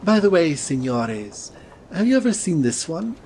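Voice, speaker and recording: A man plays a suave gentleman swordsman in an audiobook drama. His voice sits a little high for a man, with languid, courtly phrasing. Faint ambient sea noise lies behind it. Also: very animated, a little slow. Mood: languid, wistful. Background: ambient sea sound bed.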